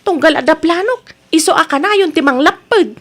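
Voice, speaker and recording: A very high female voice with an Ilocano accent, a woman acting a frustrated wife in a radio comedy-drama. She is aggrieved and emotive, pouring out complaints. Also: expressive, fairly quick. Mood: exasperated. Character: aggrieved married daughter, Zoraida, frustrated with her husband.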